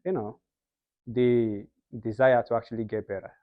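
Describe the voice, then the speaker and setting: A man's voice: medium pitch, steady tone, moderate pace, no room sound. A young man from Nigeria with a Nigerian accent, a guest in a casual interview who explains things in an earnest way. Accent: Nigerian English. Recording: slightly muffled lo-fi sound.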